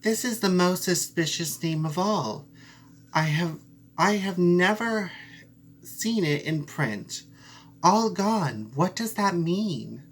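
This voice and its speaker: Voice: mid-range voice, animated, takes it slowly, voice pushed up. A performer voicing a small, earnest, suspicious female mouse in a storybook, plaintive and full of questions. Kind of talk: storybook character voice.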